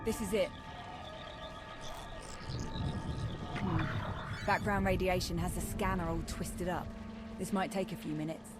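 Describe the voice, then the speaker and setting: A woman's voice: fairly low pitch, fairly even intonation, normal speed, close to an everyday voice. An actress playing a wry, battle-hardened female major in a video game, with dry, informal, clipped delivery. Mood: dry, sardonic. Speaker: military officer character in a video game. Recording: loud game music behind. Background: loud music.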